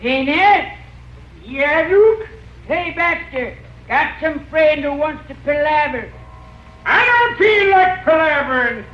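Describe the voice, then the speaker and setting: Very high-pitched cranky old backwoods miner. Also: male voice, lively intonation, slow pace, a bit bright, full character voice. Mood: suspicious, threatening. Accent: American rural Western. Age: older. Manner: drawling hillbilly talk. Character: cranky, trigger-happy old male backwoods miner twins. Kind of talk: old-time radio comedy-drama acting. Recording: dull, echoey, noisy old radio recording.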